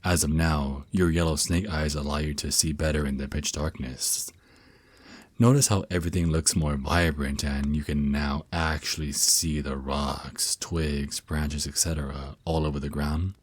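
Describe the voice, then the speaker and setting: A man's voice: extremely low pitch, steady tone, husky, no room sound, full character voice. A man voicing a teasing, protective vampire naga boyfriend who mentors his lover in an intimate, reassuring ASMR roleplay. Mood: calm, instructive. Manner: soft teasing endearments, reassuring, unhurried. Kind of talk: ASMR roleplay, intimate one-sided dialogue.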